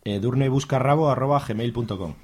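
Male actor as suspicious best mate in a radio serial: Spanish accent, very quick delivery, medium pitch, slangy, blunt. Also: a little mellow, breathy edge, natural voice. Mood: joking. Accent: Spain. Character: wary, alarmist male friend on a phone call. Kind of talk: amateur radio serial acting.

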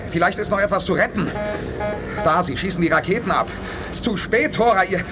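An actor playing a decisive, level-headed space commander in a 1980s sci-fi radio play, urgent and persuasive. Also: male voice, high-pitched, animated, brisk, music in the background. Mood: urgent, alarmed.

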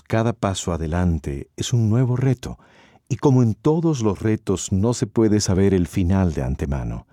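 Deep, dark-voiced solemn male narrator. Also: no echo, clean studio sound. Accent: Costa Rican. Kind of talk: scripted narration.

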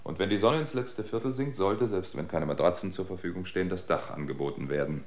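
An observant travelling reporter played by an actor in a radio drama. His voice sits low. He speaks in a measured, reflective way. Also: natural voice. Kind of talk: radio drama narration and dialogue.

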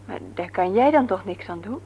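An actress playing a practical, supportive woman of the household in a radio drama, with a husky voice. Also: medium pitch, lively intonation, moderate pace, slight background noise, close to an everyday voice. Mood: concerned. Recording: dull, muffled radio recording.

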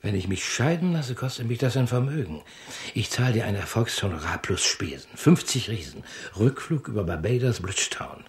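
An actor in a radio drama playing a shady, bossy real-estate broker with a pushy, colloquial, clipped way of talking. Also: male voice, lowish voice, expressive, normal speed, lightly acted. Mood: scheming. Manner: colloquial, pushy wheeler-dealer talk with clipped phrases.

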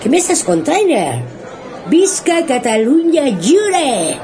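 A woman playing a brash, sarcastic young female friend with a Spanish accent and a slightly husky voice, blunt and sweary. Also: high voice, big pitch swings, slow pace, crowd noise, heavily acted. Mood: teasing, puzzled. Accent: Spanish, from Spain.

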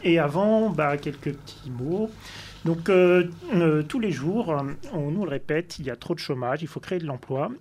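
A man's voice: fairly high pitch, lively intonation, moderate pace, a little mellow. A man on community radio who reads a prepared introduction with hesitant fillers. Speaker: radio interviewer.

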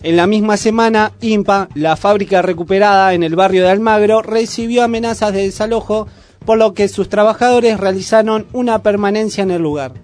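A man's voice: high-pitched, a little fast, a little mellow. A man with an Argentine Rioplatense accent reading scripted news as a radio presenter. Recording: music playing under the voice. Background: music bed.

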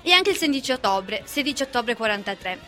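A woman's voice: on the high side, animated, quick pace, a bit bright, faint music behind. A young woman from Italy co-hosting a history radio segment and reading a scripted commentary. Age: young adult.

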